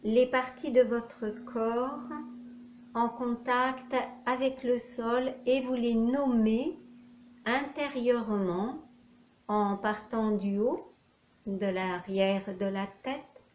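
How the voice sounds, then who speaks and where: A woman leading a guided yoga nidra relaxation as an instructor, speaking in short instructional phrases with long pauses. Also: on the high side, fairly even intonation, a little mellow. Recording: echoey room, faint background noise.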